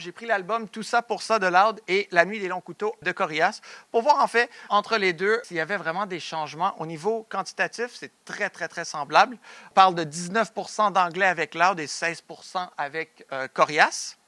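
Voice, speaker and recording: A man's voice: sits high, moderate pace, bright, forceful tone, dry recording. A young man from Montreal with a Québécois French accent gives an academic conference presentation, often hesitating and restarting his sentences. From Montreal, Quebec, Canada. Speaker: doctoral researcher, conference speaker.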